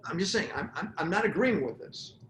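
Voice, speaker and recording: A middle-aged man co-hosting a relationship talk show, jokey and animated, prompting his partner to explain. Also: high-pitched, brisk, noisy background. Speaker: podcast co-host. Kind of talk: casual chat. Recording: echoey room, faint ambience.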